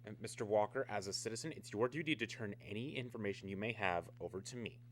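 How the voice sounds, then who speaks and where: An actor playing a stern, skeptical army major in a mid-century radio drama, speaking formally and insistently over an old humming recording. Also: male voice, fairly low pitch, quick pace, natural voice. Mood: insistent, probing.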